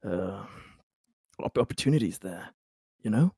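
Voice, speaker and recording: A man plays a cautious negotiator in an improvised tabletop game. He is polite and stammering, very slow and low, with a mellow, dry sound. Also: expressive, breathy edge, dry recording, exaggerated voice. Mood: tentative, probing.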